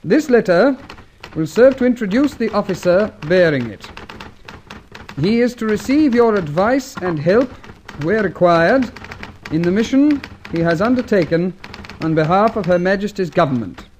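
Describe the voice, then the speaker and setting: An actor playing a wary, harried British government official in a vintage radio drama, clipped and formal with an exasperated edge. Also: male voice, high voice, expressive, moderate pace, darkish tone. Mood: formal, dictating. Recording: noisy old radio recording with clicks.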